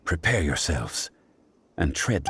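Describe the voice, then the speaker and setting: A man voicing a calm, dignified god in human form for a video game, speaking formally and with command over game music. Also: very deep voice, background noise, exaggerated voice. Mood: calm, grave. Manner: composed, formal, measured diction.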